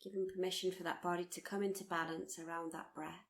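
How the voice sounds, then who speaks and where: A woman teaching guided meditation, speaking in a soothing, gently encouraging, unhurried way with repeated phrases. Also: on the low side, flat delivery. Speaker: meditation teacher leading a body scan. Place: live workshop.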